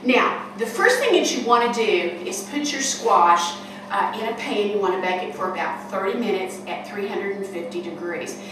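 An older woman in her sixties talks through a recipe in a homespun, chatty way as she cooks. Her voice comes from some way off the microphone and rings around a big, hard kitchen hall. A steady hiss sits underneath. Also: mid-range voice, moderate pace. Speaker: cooking show host. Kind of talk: casual cooking demonstration. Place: large kitchen hall indoors. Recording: echoey room from far off, noisy.

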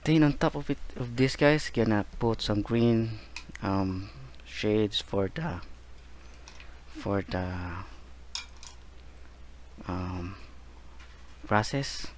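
A man with a low voice talks slowly through a painting lesson as he works, stopping often between short remarks. His delivery is calm and unhurried. A faint noise runs under the recording. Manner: slow, relaxed, repeats "guys" and "there", gentle reminders. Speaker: painting instructor. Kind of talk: real-time painting tutorial narration.

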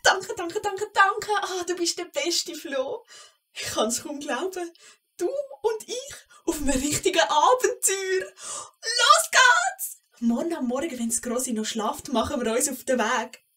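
Woman as a lively high-voiced Swiss gnome girl. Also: measured pace, distant mic, exaggerated voice. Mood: excited. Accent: Swiss German. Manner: eager, cajoling, teasing. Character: bold, adventurous little gnome girl. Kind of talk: children's audio play.

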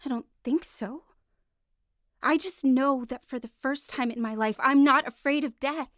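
High-voiced, heartfelt wife. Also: female voice, measured pace, no echo, clean studio sound. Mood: amazed, sincere. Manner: heartfelt, expressive. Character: earnest, anxious married woman seeking faith. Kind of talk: radio drama acting, dialogue and narration.

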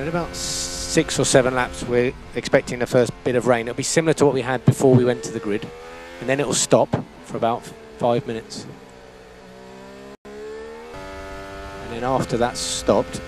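A man working as a race engineer, giving terse, matter-of-fact instructions over team radio and repeating key phrases. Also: mid-range voice. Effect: team radio transmission.

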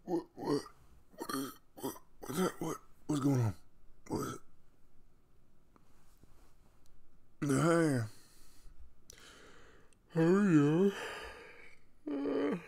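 Man acting sleepy, gravelly, caring young guy. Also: medium pitch, sing-song, a little slow, pauses often, a little mellow, voice pushed up, exaggerated voice. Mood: groggy, startled. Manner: hesitant, casual, chuckling mumble. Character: sleepy, caring young man waking in his crush's bed. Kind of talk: intimate ASMR boyfriend roleplay.

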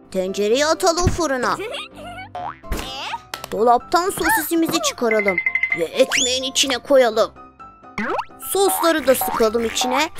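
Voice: high-pitched, full character voice. An actor voicing a cheerful, playful young animal in a children's cartoon dub. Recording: music under the voice.

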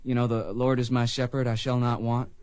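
Casting: man for blind Old West son, earnest, plain-spoken, medium pitch, radio drama. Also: level tone, fairly quick, a little mellow, clean tone, natural voice. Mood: sorrowful, earnest. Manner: plain-spoken, polite, emotional. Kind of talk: radio drama acting, western.

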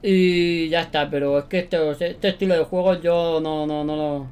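A young man in his twenties with a Spanish accent from Spain, giving excited, slangy gameplay commentary in a bedroom studio. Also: fairly high pitch, a little slow, smooth. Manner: animated, slangy, repeats himself. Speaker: gaming YouTuber.

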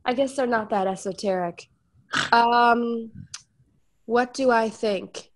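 A woman's voice: a little high, takes it slowly. A woman musician with a cold, sounding congested, chatting casually on an online call with many fillers. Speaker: musician guest. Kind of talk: casual discussion.

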